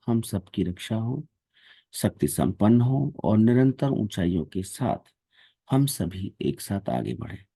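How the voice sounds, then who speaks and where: A man leading a guided meditation and devotional prayers in a calm, measured, devotional manner. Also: fairly low pitch, husky, no room sound.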